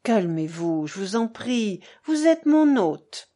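Woman reading as a slow, dignified old monk. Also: medium pitch, sing-song, warm tone. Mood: soothing. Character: frail, majestic old monastery elder, calm and kindly.

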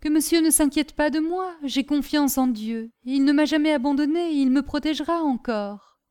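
A woman's voice: sits high, normal speed, dark tone, voice pushed up, close to an everyday voice. A woman voicing a humble, devout young servant in a storybook reading, with a trembling, deferential, earnest delivery. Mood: trusting. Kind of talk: audiobook character acting.

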